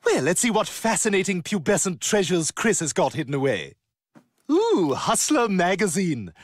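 Haughty, high-voiced cartoon baby boy. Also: sing-song, normal speed, no echo, studio quality. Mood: smug. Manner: pompous, theatrical, articulate. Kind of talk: animated comedy acting.

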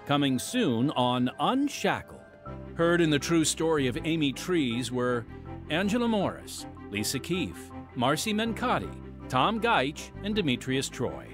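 Male announcer, scripted radio narration, lively varied intonation, deliberate phrasing, soft music bed. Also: on the high side. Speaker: podcast host and announcer. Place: studio voice-over.

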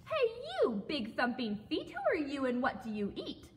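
Woman voicing a brash, booming storybook frog, high-pitched. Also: huge pitch swings, long reverb tail, slight background noise. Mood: brash, loud. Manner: shouting, boastful, drawn-out delivery. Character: big brash wide-mouthed male frog, loud and nosy. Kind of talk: children's storybook character voice.

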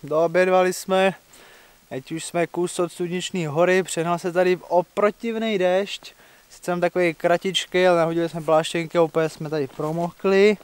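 Young male hiking vlogger, noticeably high voice, informal and slangy, recorded at arm's length outdoors. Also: fairly even intonation, clear, resonant tone. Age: young adult. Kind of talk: casual vlog chat. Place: outdoors on mountain and forest trails. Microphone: handheld camera at arm's length.

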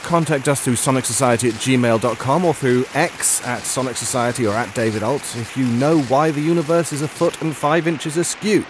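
A man's voice: mid-range voice, steady tone, moderate pace, few pauses. A man co-hosting an audio drama show with scripted banter and sign-off. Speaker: podcast co-host. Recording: clear hiss and outdoor-like noise.

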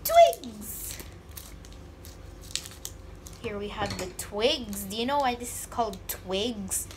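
A woman's voice: medium pitch, sing-song, slow pace, noisy background. A woman unboxing candy on camera in a casual vlog, joking and pretending to be scared. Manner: playful, mock-scared squeals and jokes. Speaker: vlogger. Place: indoors at a table. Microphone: phone camera held over a table.

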